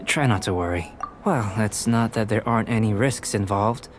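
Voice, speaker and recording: A man playing a calm, courteous young alchemist in an anime video game, speaking in a measured, precise and politely detached way. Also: lowish voice, over music, lightly acted. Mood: calm, reassuring.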